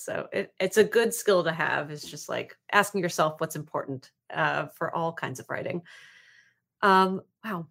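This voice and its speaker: A woman's voice: fairly low pitch, a bit bright. A woman hosting a panel discussion in a casual, conversational way. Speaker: host moderating a virtual panel.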